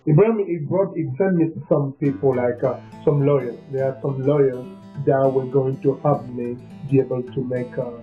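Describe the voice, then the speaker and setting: Brief: man, interview subject, flat delivery, hesitant and plainspoken; muffled lo-fi recording with faint guitar under it. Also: medium pitch. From Cameroon. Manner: halting, leans on "you know what I mean". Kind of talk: casual personal storytelling. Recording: dull lo-fi sound with faint music.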